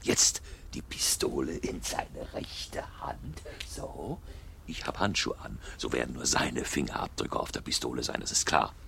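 An actor playing a cold, sardonic murderer in a German radio drama, muttering calculatingly and mockingly to himself. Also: male voice, rumbling low, sing-song, a little slow, creaky, pushed and bright, whispered, heavily acted. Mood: calculating, smug. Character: cold, sardonic murderer staging a man's suicide.

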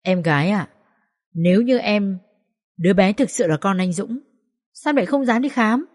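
A woman's voice: mid-range voice, expressive, normal speed, darkish tone, lightly acted. A woman reading a story aloud, voicing a sly woman who mocks with false sweetness. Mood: taunting. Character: sly mocking woman, in-law's accomplice.

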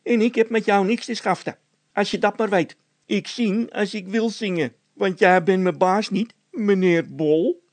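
A man voicing a stubborn, mockingly calm road labourer who needles his workmate in a storybook tale. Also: high voice, unhurried, dry recording, voice pushed up. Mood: defiant.

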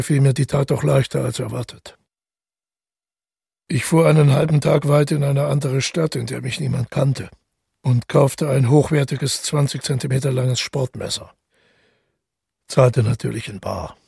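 Gravelly, haunted man confessing. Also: medium pitch, darkish tone, dry recording, clean studio sound, exaggerated voice. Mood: matter-of-fact confession. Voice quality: gravelly, husky. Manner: measured, brooding, with deliberate pauses. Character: tall, haunted man calmly confessing to a killing. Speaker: narrator. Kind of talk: literary radio drama monologue.

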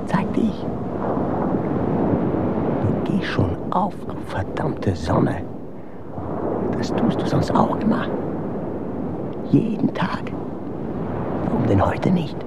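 Exhausted gravel-voiced man, loud rumble. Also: full character voice. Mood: weary, pleading. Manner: murmured, halting monologue, bitter. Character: exhausted, brooding man longing for the sun. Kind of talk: radio drama monologue. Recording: loud rumbling noise around voice.

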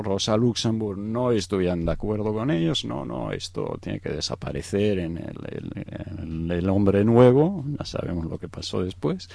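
A man's voice: fairly low pitch, creaky, dark tone, no echo. A middle-aged man from Egypt explaining things in an unscripted interview, hesitant, searching for words and often adding tag questions. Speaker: interview guest.

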